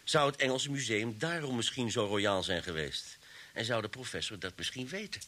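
An actor playing a keen, direct, plain-spoken police detective in an old radio drama. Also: male voice, medium pitch, lively intonation, moderate pace, sharp tone. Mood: pondering. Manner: direct, inquisitive. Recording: old radio recording with faint hiss.

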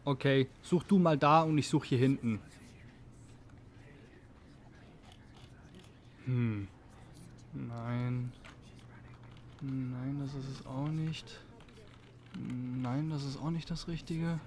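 A man's voice: medium pitch, takes it slowly, pauses often, a little hiss or hum, lightly acted. A man acting a young student in an audio drama, a teasing but concerned friend who talks colloquially. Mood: focused, frustrated. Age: young adult. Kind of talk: naturalistic audio drama dialogue.

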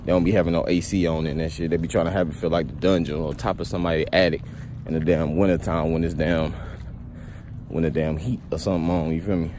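A man's voice: sits low, fairly even intonation, fast talker. Laid-back young man vlogging while walking outside. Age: young adult. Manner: laid-back rambling, keeps saying "you feel me". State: slightly sick with a cold. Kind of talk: casual walking vlog monologue. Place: outdoors on a street on a sunny day. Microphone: phone held low at arm's length. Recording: outdoor street noise behind. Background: passing traffic.

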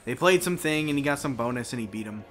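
Bright-voiced young man, teasing gamer. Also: mid-range voice, animated, quick pace. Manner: teasing, crude, swearing banter. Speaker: let's play co-host playing the game. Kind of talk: casual chat while gaming.

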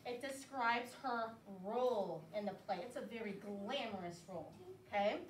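A woman teaching a class of young children, lively and coaxing, with call-and-response cues. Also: a little high, normal speed. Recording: echoey classroom from far off, light noise.

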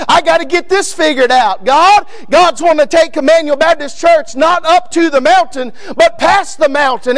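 A man in his thirties with a Kentucky accent preaching a fiery Baptist sermon from a pulpit in a church sanctuary, often shouting and calling out men in the pews by name. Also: very high voice. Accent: Northern Kentucky. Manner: builds to shouting, repeats lines, addresses men in the pews by name. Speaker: guest preacher. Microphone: pulpit microphone.

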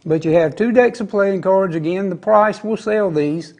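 A man with a gravelly, fairly high voice hosts a TV shopping show. He talks up collectibles in folksy, chatty salesman banter. He sits on an indoor studio set, close on a lapel mic. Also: moderate pace, a little mellow. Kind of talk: TV shopping pitch.

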